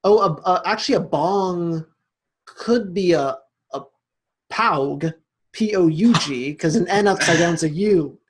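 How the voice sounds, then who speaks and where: A man's voice: high-pitched, measured pace, a little hiss or hum. A man co-hosting a casual podcast, joking and rambling with lots of restarts. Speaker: podcast host. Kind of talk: casual chat among friends.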